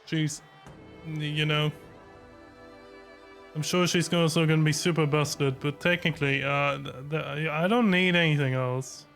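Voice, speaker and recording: A man giving casual game reaction commentary in a laid-back, halting way. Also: a little high, steady tone, fairly bright tone. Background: game music.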